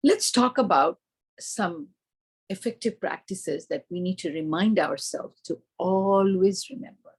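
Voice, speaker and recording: A woman's voice: on the low side, a little slow, slightly rough. A woman with an Indian accent giving an instructional presentation to teachers, explaining step by step and posing questions that she answers herself. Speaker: teacher trainer.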